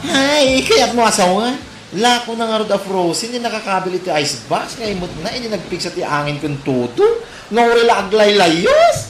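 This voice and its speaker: A woman's voice: medium pitch, very animated, moderate pace, music in the background, natural voice. A woman playing a blunt, mocking, unsympathetic neighbor with an Ilocano accent in a comedy drama. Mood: scornful. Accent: Ilocano Filipino. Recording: roomy echo, clear background noise.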